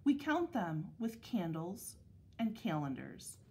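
A woman reads a children's picture book aloud as a schoolteacher would. Her pace is slow and her phrasing is deliberate, with a mid-range pitch. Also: very animated, warm tone. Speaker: elementary school teacher reading aloud.

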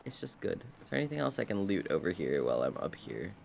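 A man's voice: low-pitched, very animated, quick pace, vocal fry, darkish tone, slight background noise. A man streaming a game with casual live commentary, full of playful sing-song asides.

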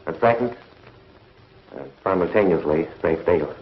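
An actor playing a stern, urgent commissioner in a 1950s television sci-fi serial, speaking emphatically. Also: male voice, low voice, expressive, normal speed. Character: stern, urgent space commissioner leading the response. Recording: dull vintage TV soundtrack.

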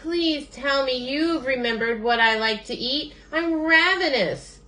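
A woman's voice: sits high, a little slow, pushed and bright, voice pushed up. A woman voicing a whiny, picky male bird in a children's story, dramatic and demanding in his complaints. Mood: hungry, pleading.

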